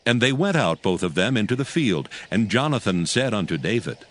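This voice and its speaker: A man's voice: on the low side, sing-song, fairly quick, fairly bright tone. A man narrating a dramatized Bible story as a scripted reading in archaic English. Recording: background music under the voice.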